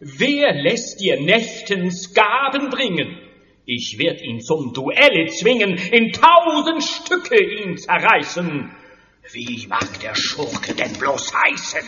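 An actor playing a lovesick stage actor who declaims pompous rhymed verse theatrically in a German audio drama. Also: male voice, sits high, sing-song, takes it slowly, sharp tone, full character voice. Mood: jealous, indignant. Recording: dull sound in a long-reverberant echoing hall.

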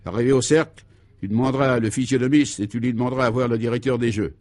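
A man's voice: medium pitch, steady tone, fast, darkish tone, dry recording, natural voice. A man playing a bossy conspirator in a comic radio play, commanding, pompous and exacting as he gives absurd orders. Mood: commanding, secretive.

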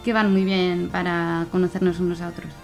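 Woman being interviewed, casual, feels for words, pretty flat melody, faint music. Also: fairly low pitch, brisk, vocal fry. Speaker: guest, activist.

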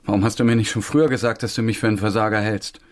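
A man's voice: fairly low pitch, fairly even intonation, rapid-fire, lightly acted. An actor playing a weary, self-doubting Syrian man who tells his story in a brooding way in a radio drama. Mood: hurt, resigned. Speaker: actor and narrator. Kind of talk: radio drama narration and dialogue.

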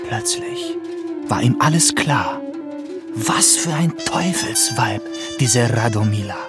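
Male narrator: brooding, slow, mid-range, dramatic scripted read with music bed. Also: mid-range voice, expressive.

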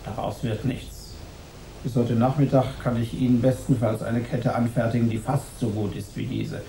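An actor playing a blunt, dry shopkeeper in a radio drama, speaking deliberately in an echoey room. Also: male voice, mid-range voice, expressive, gravelly, warm tone, slight background noise. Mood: dry, reluctant.

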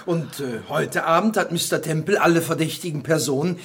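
An actor playing an earnest senior British police official in a radio crime drama, with a husky voice. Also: male voice, on the high side, brisk, a bit bright, a little hiss or hum. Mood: explanatory.

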